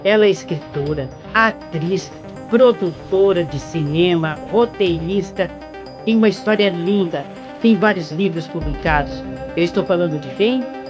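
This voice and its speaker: A man's voice: high-pitched, measured pace, dull audio, music in the background. A man with a Brazilian Portuguese accent hosting a casual interview, often repeating words as he gets going. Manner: stammering repetitions. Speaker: interviewer host.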